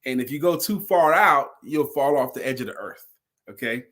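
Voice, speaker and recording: A man's voice: medium pitch, animated, normal speed, a bit bright. A man telling a story in an informal, conversational way, emphatic and repeating key phrases to drive them home. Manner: acts out dialogue in his own retelling. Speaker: storyteller and sales speaker.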